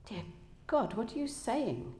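A woman's voice: low-pitched, a little fast, warm tone. A woman voicing an anxious man in love who tries to sound authoritative, earnest and defensive, in a novel reading. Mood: shocked, disbelieving. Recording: echoey room, public reading.

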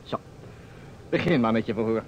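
A man's voice: on the low side, moderate pace, lo-fi sound, close to an everyday voice. A man acting a playful, argumentative storyteller who steers a detective game in a Dutch radio play. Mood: scene-setting. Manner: animated, theatrical. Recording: old radio recording, faint noise.

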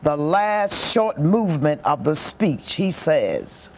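A commentator explaining a historic speech in a reflective, expressive storytelling way. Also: medium pitch, takes it slowly. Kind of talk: reflective documentary-style commentary.

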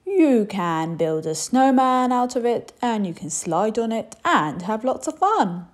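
An exasperated king from a children's storybook, explaining things to his child in a wail, acted out by a woman. The voice swings up high and lively. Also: unhurried. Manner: wailing, explanatory.

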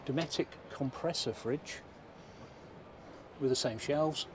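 A man's voice: mid-range voice, takes it slowly. A man, giving a casual walkaround of a motorhome at a show, thinking aloud as he goes. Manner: chatty, thinking aloud, mild remarks. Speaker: motorhome vlogger. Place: inside a motorhome at an indoor trade show. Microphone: handheld camera mic. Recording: noisy exhibition hall.

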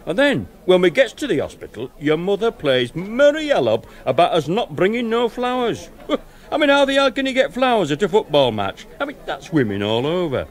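A man's voice: sits high, wildly swooping pitch, a little fast. A man playing a grumbling old uncle. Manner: rambling, aggrieved storytelling. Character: grumbling older northern uncle, set in his ways.

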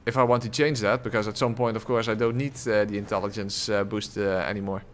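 A man's voice: fairly low pitch, little pitch movement, fast, slight background noise. Rambling male game streamer, close mic. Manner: rambling, lots of "uh" fillers, frequent chuckles. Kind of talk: casual live gameplay commentary, reading chat. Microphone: desk microphone close to the face.

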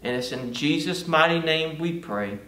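A middle-aged man, a pastor giving an earnest Bible study sermon and prayer with deliberate pauses. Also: a little high, lively intonation, fairly bright tone, recorded from a distance. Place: indoor studio or stage with lit backdrop. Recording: roomy, echoing, off-mic sound.

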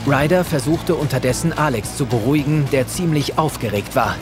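A man's voice: mid-range voice, expressive, a little fast, over music. A man narrating a children's audio drama as a scripted storyteller.

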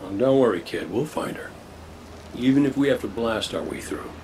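A man acts a seasoned, fatherly fighter in a video game. He is easygoing and sure of himself, reassuring in a medium-pitched voice. A faint rumble runs behind him. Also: lively intonation, normal speed, dark tone, lightly acted. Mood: steady, reassuring. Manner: casual, reassuring.

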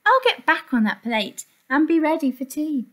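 A woman voicing a small, plucky runaway pea in a children's storybook, expressive and exclaiming. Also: high-pitched, moderate pace, pitched above the performer's own voice. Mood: resigned.

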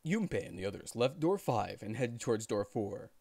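A young man streaming, narrating and chatting casually in a playful, animated manner. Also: lowish voice, moderate pace, gravelly, dry recording. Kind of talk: casual livestream chat and game narration.

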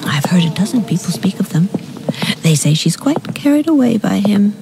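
An older society lady in a radio play, probing and disapproving in hushed tones. A clearly audible noisy ambience surrounds her. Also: mid-range voice, very animated, moderate pace. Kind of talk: radio drama acting.

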